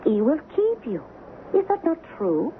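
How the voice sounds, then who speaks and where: An actress playing a grave, secretive young woman in a 1970s radio drama, with measured, formal phrasing. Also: high voice, wildly swooping pitch, exaggerated voice. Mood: calm, coaxing. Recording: noisy, phone-like old radio broadcast.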